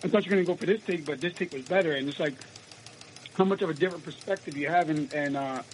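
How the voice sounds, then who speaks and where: A raspy-voiced young man from the United States, an actor answering interview questions in a casual, rambling way with many restarts. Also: a little high, quick pace, slight background noise, low-quality recording. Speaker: actor guest.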